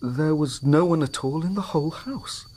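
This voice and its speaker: An actor playing a troubled, proud Victorian husband in a radio drama, with a British accent and an earnest, formal, wounded manner. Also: male voice, on the high side, moderate pace, darkish tone, slightly rough. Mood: agitated, suspicious. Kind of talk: radio drama acting, narrating his own story.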